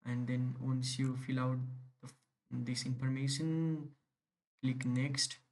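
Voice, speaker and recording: A man's voice: medium pitch, level tone, slow, darkish tone. A young man from Nepal, a native Nepali speaker, talks in English with a Nepali accent, walking through an online application step by step with many fillers and restarts. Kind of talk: screen-recorded tutorial.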